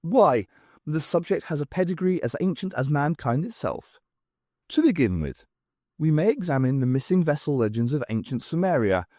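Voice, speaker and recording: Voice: mid-range voice, very animated, moderate pace, mellow, dry recording, studio quality, pitched above the performer's own voice. A performer voicing a giggly, rambling old male academic who lectures pompously in an audiobook. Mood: pleased, lecturing.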